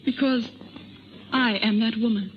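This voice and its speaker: A woman's voice: a little high, fairly even intonation, unhurried, lightly acted. Actress as proud noble lady, muffled radio sound. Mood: earnest. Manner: formal, stately, earnest. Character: proud, earnest young French noblewoman. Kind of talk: 1930s radio drama acting. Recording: muffled 1930s radio broadcast.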